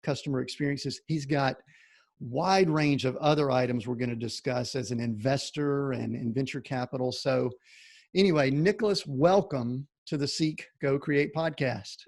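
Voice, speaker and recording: A man's voice: mid-range voice, normal speed, vocal fry, no room sound. A man hosting a podcast, asking chatty, rambling questions in a folksy way. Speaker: podcast host. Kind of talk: conversational interview hosting.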